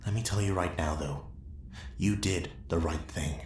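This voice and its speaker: A man playing a calculating Sith Lord in an ASMR roleplay, speaking in a measured, persuasive, commanding way. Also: sits low, a little mellow. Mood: softly persuasive. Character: calculating male Sith Lord, smooth seducer to dark side. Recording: echoey with faint background noise.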